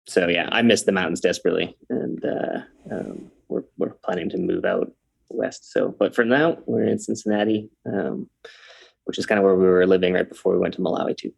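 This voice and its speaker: A man from Canada, in his thirties, talks as a guest on a podcast. His voice is low with a gravelly edge. He takes his time and fills gaps with ums and uhs. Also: steady tone, a little fast. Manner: hesitant, many ums and uhs, easygoing. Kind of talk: casual interview chat.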